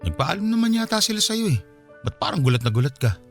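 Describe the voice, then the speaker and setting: An actor playing a cold, dismissive young husband in a Filipino radio drama, curt and indifferent. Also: male voice, sits high, sing-song, fast talker. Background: music.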